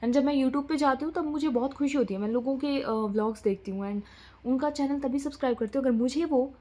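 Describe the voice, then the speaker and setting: A woman's voice: high voice, fairly quick, dark tone. A young woman vlogging in casual, rambling chat, often switching between Hindi and English.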